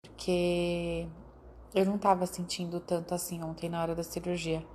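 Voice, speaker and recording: A woman, a patient giving a soft, relieved personal account, recorded from farther away. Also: lowish voice, monotone, moderate pace, a little hiss or hum.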